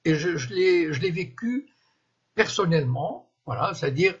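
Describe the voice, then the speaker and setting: A man in his seventies from Morocco, whose first language is Moroccan Arabic, giving an unscripted live-stream monologue, often pausing and restarting to find his words. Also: a little high, lively intonation, husky. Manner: halting, searching for words, frequent restarts. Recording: dull lo-fi live-stream audio.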